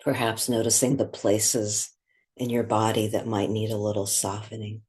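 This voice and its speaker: A man leading a guided meditation in a gentle, unhurried way, with long pauses between phrases. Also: fairly low pitch, level tone, slightly rough.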